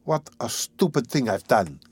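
A man's voice: mid-range voice, moderate pace, darkish tone, no echo, natural voice. A man reading an audiobook, voicing a bitter young man muttering to himself.

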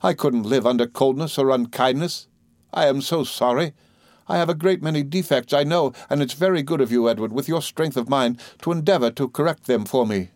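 A timid young wife and mother from a classic storybook, acted out by a male narrator. The voice is lifted well up in pitch, faltering and pleading, with a meek, tearful temper. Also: fairly quick, a little mellow, no echo, clean studio sound, lightly acted. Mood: meek, apologetic. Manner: faltering, pleading, repeating herself.

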